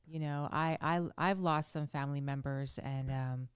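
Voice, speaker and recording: A woman's voice: deep voice, steady tone, normal speed, clear, resonant tone, no room sound. A woman co-hosting an online recovery meeting over a video call, encouraging and kind.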